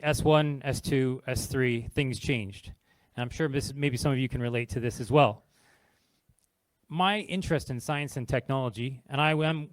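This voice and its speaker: A man's voice: mid-range voice, no room sound, poor audio. A middle-aged man from Canada with a Canadian accent, telling a personal story at an industry event in a candid, conversational way and admitting he is nervous. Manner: nervous but candid, conversational, walking around while speaking. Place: event venue, in front of a live audience.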